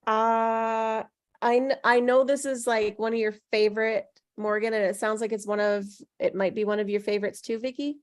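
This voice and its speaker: A woman hosting a casual group chat in a relaxed conversational way. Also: fairly high pitch, moderate pace. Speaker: host.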